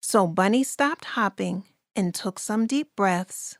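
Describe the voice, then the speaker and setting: Female storybook narrator, slow and expressive, deliberate rhyming read, dry close sound. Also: medium pitch, dry recording. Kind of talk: scripted children's story narration.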